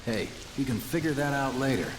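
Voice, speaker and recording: An actor playing an easygoing, chatty young rebel fighter in a video game, casual and joking in manner. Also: male voice, mid-range voice, brisk, background noise, lightly acted. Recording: loud music and rain behind.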